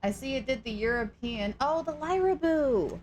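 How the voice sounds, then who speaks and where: A young woman with a sore throat chatting casually and excitably on a live stream, scatterbrained and full of gasps. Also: high voice, moderate pace, slight background noise. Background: background music.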